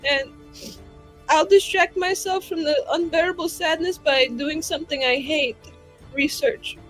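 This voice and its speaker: A woman's voice: high voice, steady tone, unhurried, poor audio. A woman playing a tabletop game, improvising casually with a flippant, informal way of talking. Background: soft background music.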